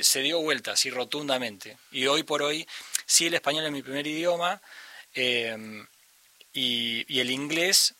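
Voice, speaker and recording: A man with an Argentine accent, a musician talking thoughtfully in an interview and often pausing to search for the right word. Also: medium pitch, pushed and bright, no echo. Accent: Argentine Spanish. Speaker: musician guest. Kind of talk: reflective conversational interview.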